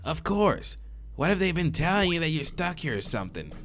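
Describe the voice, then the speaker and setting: Man doing a high-pitched surfer-dude mothman. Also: very animated, a little fast, fairly bright tone, soft background music. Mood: laid-back, animated. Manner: slangy, drawling surfer talk. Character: laid-back surfer-dude male mothman monster, friendly, philosophical. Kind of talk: visual novel character read aloud.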